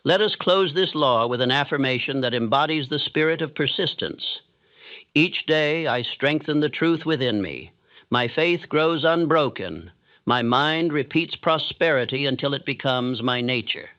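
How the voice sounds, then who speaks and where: A man reading a scripted motivational sleep audiobook in a calm, steady, solemn manner. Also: medium pitch, a little slow, no echo, dull audio. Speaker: audiobook narrator.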